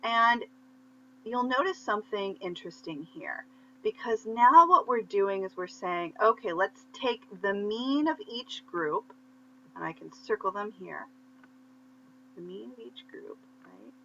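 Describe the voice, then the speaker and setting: Female instructor, crisp forward tone, patient stepwise lesson delivery, dull recording with faint background hum. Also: medium pitch, expressive, measured pace, pushed and bright. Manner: step-by-step explaining with check-in questions. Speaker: statistics lecturer. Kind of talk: conversational instructional explanation of slides. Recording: muffled lo-fi audio with faint hum.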